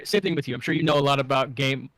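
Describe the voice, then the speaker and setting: A man's voice: mid-range voice, very fast, fairly bright tone, no echo. A man co-hosting a casual pop culture discussion, agreeable and explaining his points with examples. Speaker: podcast co-host.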